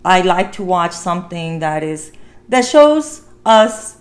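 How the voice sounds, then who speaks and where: A woman's voice: fairly low pitch, big pitch swings, slow. A woman hosting a casual movie review talk, speaking earnestly and repeating phrases for emphasis. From Mexican heritage. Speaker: talk show host.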